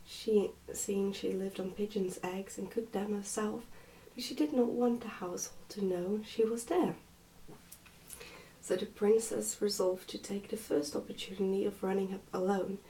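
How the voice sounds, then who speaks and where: A soft-spoken woman, often close to a whisper, reading a book aloud in an ASMR style. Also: medium pitch, recorded from a distance, slight background noise, poor audio. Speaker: ASMR reader and host.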